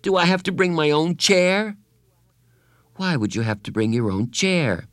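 A man doing a jittery young schoolboy from a storybook, pitched well up and gushing with eager, whiny questions. The voice leaps up and down constantly. It sounds dry and close, with no room around it. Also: big pitch swings, unhurried, a bit bright, no echo. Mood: worried. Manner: high, eager, tearful and whiny. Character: anxious excitable young schoolboy. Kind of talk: audiobook character voice.

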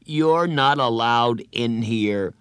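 A man's voice: mid-range voice, slow pace, fairly bright tone, clear, resonant tone, dry recording, clean studio sound. A man voicing an upset, stubborn schoolboy in an audiobook, whining and defiant. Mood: sullen, defiant. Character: upset, stubborn schoolboy feeling betrayed and angry.

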